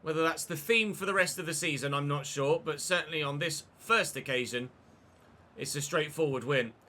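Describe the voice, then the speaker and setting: A young man commentating his football video game career, chatty and excitable, breaking into shouts when he scores. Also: a little high, normal speed. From England. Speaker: gaming YouTuber.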